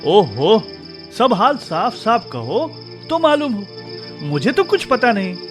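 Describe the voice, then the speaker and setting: A principled young husband in a radio drama. His voice is high for a man and very animated, earnest and emphatic, with light music underneath. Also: slow pace, exaggerated voice. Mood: puzzled, coaxing. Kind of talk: Hindi radio drama acting.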